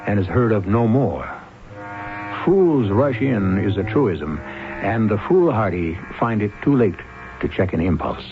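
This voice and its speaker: A man hosting an old radio mystery, narrating scripted commentary in a theatrical, deliberate manner. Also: on the low side, expressive. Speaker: host and narrator. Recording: very muffled old radio recording. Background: loud dramatic music.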